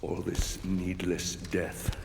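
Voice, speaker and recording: An actor playing a stern, vengeful Roman general in a video game, solemn and measured. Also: male voice, deep voice, little pitch movement, gravelly, full character voice. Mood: cold accusation. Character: grim avenging Roman general, male, stern and accusing. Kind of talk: dramatic video game acting. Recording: echoey hall, noisy game mix.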